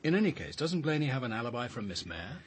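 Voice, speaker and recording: An actor playing a reserved, analytical senior police officer in a radio drama, thoughtful and articulate. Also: male voice, medium pitch, very animated, quick pace, husky. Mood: analytical, reflective. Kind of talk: naturalistic radio drama acting.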